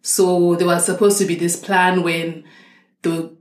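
Woman from Zimbabwe, young adult, low-pitched, echoey room, explains at length. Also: little pitch movement, unhurried. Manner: thoughtful, explains at length with restarts. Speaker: interview guest, doctor. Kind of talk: casual interview conversation.

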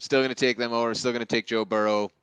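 A man's voice: mid-range voice, steady tone, fast talker, fairly bright tone, smooth, no echo. A man giving casual fantasy football analysis as part of a panel. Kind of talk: casual sports debate.

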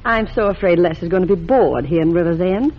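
A fretful, motherly aunt whose pitch swings widely as she fusses over her family. Her voice is a bit husky, in old radio-drama style. The sound is muffled like a vintage broadcast. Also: lively intonation, brisk. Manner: fretful and doting. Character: fussing, motherly middle-aged aunt. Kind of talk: 1940s radio acting.

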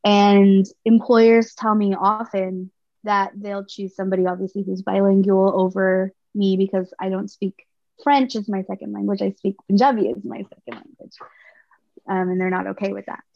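A woman in her thirties from Canada, speaking as a podcast guest in a thoughtful, halting way with frequent restarts. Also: mid-range voice, flat delivery, creaky, no room sound. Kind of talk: personal conversation. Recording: slightly muffled dry sound.

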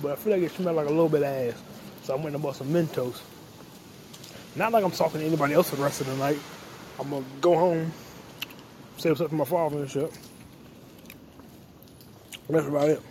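Young male podcast host, a bit high-pitched, rambling and joking while walking outside at night; faint street noise. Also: mellow. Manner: profane, loose, joking. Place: outdoors on a quiet street.

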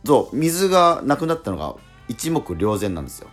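Male radio host, lively swooping intonation, husky voice, casual and slightly halting talk, soft music underneath. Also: medium pitch. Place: radio studio.

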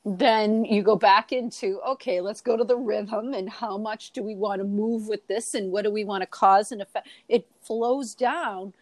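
A woman's voice: medium pitch, fairly even intonation, moderate pace, few pauses, darkish tone, dry recording. Boston-accented woman co-host, coaching, dry close sound, mid voice. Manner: coaching, asks rhetorical questions, repeats herself. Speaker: podcast co-host. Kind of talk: reflective spiritual discussion.

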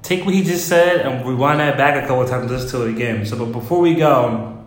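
A man hosting a podcast in a casual interview, relaxed and giving short "mm-hmm" replies. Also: medium pitch, fast. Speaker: podcast host. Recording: roomy echo.

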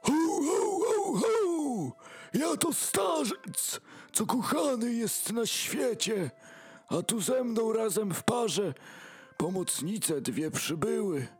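A jolly old Santa Claus with a husky, creaking voice. He hoots and declaims his rhymes very slowly for a radio play, swinging through the pitches over music. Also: expressive, full character voice. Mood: jolly, booming. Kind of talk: rhymed audio drama acting. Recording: music behind the voice.

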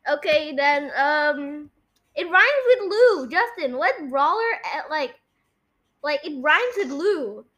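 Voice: high-pitched, lively intonation, takes it slowly, sharp tone. A young person, child or early teen, hosting a casual guessing game in informal chat. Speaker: podcast host.

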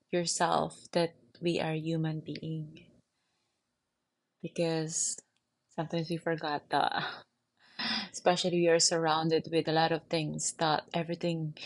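A Filipino woman hosting her own podcast from her bed, with a Filipino accent, speaking softly and soothingly and guiding the listener through a gentle check-in. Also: deep voice. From Philippines. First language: Tagalog. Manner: soft and soothing, slow, with frequent small laughs. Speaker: podcast host. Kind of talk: gentle guided reflection and casual chat. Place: bedroom, sitting on the bed.

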